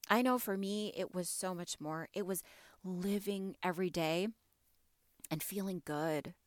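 A mother hosting a motivational podcast, her voice a little raspy from allergies, talking earnestly and encouragingly and asking her listeners question after question. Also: fairly low pitch, no room sound, studio quality. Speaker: podcast host.

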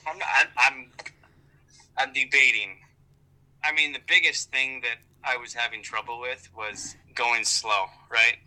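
A man's voice: mid-range voice, steady tone, unhurried, bright, forceful tone, low-quality recording. An American man in a casual, profane chat, rambling along with wry self-deprecation. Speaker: golf vlogger and amateur golfer.

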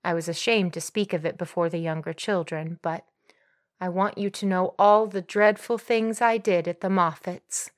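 A woman's voice: fairly low pitch, steady tone, normal speed, natural voice. Actress as an earnest, bashful young woman confessing. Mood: ashamed, confiding. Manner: confessional, self-reproachful. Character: earnest, bashful young woman confiding guiltily in her mother. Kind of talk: dramatized audiobook character acting.

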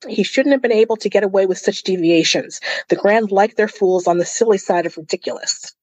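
A woman's voice: medium pitch, fairly quick, darkish tone, breathy edge, no room sound, poor audio. Woman co-hosting a book podcast, dry sound. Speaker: podcast co-host. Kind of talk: casual book discussion and reading aloud.

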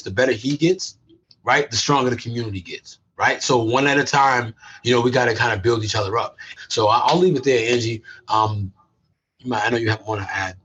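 A man's voice: medium pitch, a bit bright, slightly rough, highs cut off. An American man talking conversationally on a panel as a youth education worker, punctuating his points with "right?".

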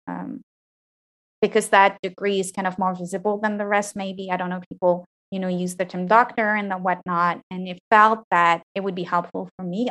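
High-voiced woman, frank interview guest. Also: a bit bright, low-quality recording. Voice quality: fairly high-pitched voice. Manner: thoughtful, frank, with frequent restarts. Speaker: interview guest, PhD graduate. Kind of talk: reflective interview answers.

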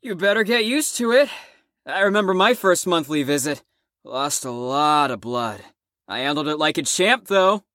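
A woman's voice: sits low, animated, moderate pace, a bit bright, dry recording, clean studio sound. A woman playing a cocky, crude, defiant wisecracking patient in an audio drama, brash and mocking. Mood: cocky, teasing.

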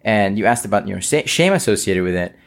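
A man's voice: lowish voice, expressive, fast, gravelly. A young man from Israel, a native Hebrew speaker, telling his personal story earnestly as an interview guest, with many fillers. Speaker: guest, company founder. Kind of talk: casual interview.

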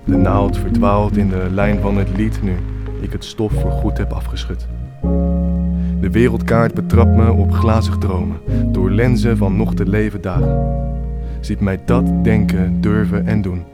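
A man reciting his poetry as a spoken word poet. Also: low voice. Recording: loud guitar music behind the voice.

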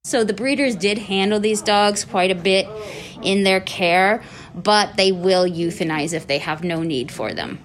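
Woman, medium pitch, interviewee, conversational, unscripted answers. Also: a little slow. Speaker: interviewee, animal rescue store manager.

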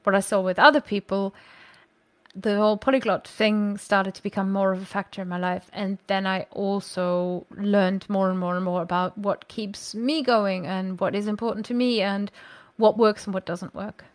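A woman's voice: medium pitch, fairly even intonation, normal speed, dry recording. A woman, chatting as a podcast guest and thinking aloud with frequent self-corrections. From Germany. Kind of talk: casual conversational storytelling.

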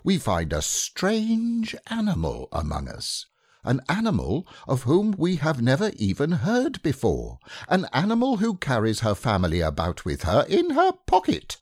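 A man's voice: medium pitch, huge pitch swings, a little mellow, dry recording, studio quality, voice pushed up. A man doing a bossy, fussy storybook rabbit. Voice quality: brisk, precise and self-important. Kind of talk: audiobook character reading.

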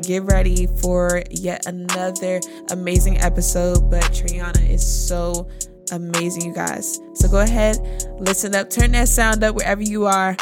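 A woman's voice: lowish voice, monotone, normal speed, loud music behind the voice. Bubbly young woman hosting a podcast. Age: 20s. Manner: bubbly, slangy, hypes up her guest. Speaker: podcast host. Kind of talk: upbeat casual podcast chat.

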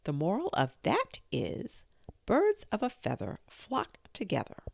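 A woman's voice: mid-range voice, huge pitch swings, a little slow, gravelly, darkish tone, voice pushed up, full character voice. A woman voicing a fussy, moralizing old Duchess in a storybook reading, with a preachy, sing-song manner.

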